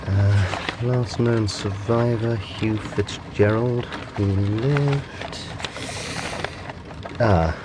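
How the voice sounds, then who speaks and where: An actor playing an earnest, curious young lawyer in a period audio drama, with a measured, literary, musing delivery. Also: male voice, fairly low pitch, heavy background noise. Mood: musing, intrigued. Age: young adult. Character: earnest, curious young male lawyer recounting his tale.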